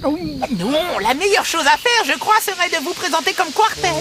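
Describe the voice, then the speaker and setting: Animated, high-voiced little leader. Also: female voice, moderate pace, noisy background. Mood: encouraging. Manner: animated, take-charge. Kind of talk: French cartoon dubbing. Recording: music behind the voice.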